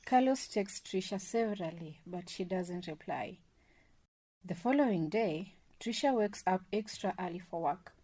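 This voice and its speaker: A woman's voice: fairly low pitch, animated, measured pace, mellow, slight background noise. A woman reading scripted narration as the narrator of a radio drama. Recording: music and crowd sounds behind.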